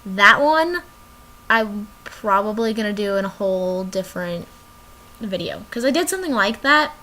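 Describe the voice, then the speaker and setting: Bright rambling young woman vlogging. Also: medium pitch, lively intonation, moderate pace, slight background noise, poor audio. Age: young adult. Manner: rambling, drifts off topic. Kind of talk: casual chat to camera. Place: her cold bedroom.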